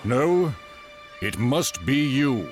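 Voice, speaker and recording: A man's voice: medium pitch, very animated, drawn-out words, over music. An actor playing a grave, pious statesman in a game cutscene, solemn and admonishing. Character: grave, pious Atlantean elder statesman, anxious and insistent. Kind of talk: dramatic video game cutscene acting.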